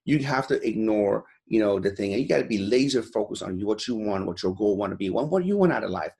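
A man's voice: fairly low pitch, animated, fast talker, vocal fry, a little mellow. A man in his forties from Iran, talking as an interview guest in a blunt, profane, fired-up way, repeating "you know" constantly. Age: 40s. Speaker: guest, gym owner. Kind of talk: motivational interview talk.

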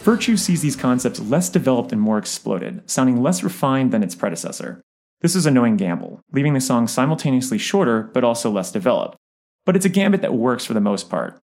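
A man's voice: mid-range voice, very animated, a little fast, a little mellow, soft background music. A man reading a scripted album review as narration.

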